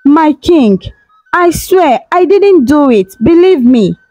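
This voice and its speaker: Woman doing a tearful accused girl in a storybook, high and desperate, begging. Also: animated, takes it slowly, a little mellow, dry recording, faint music behind, poor audio. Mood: tearful pleading. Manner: desperate, begging through tears. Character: young accused servant woman, pleading and tearful.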